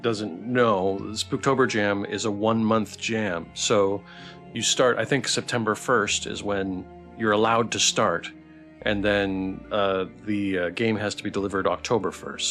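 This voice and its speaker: A man with a fairly low voice moderates a casual interview. He comes across as enthusiastic and appreciative, asking and explaining informally. Faint music plays behind him. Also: unhurried, a bit bright. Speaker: host of developer Q&A stream.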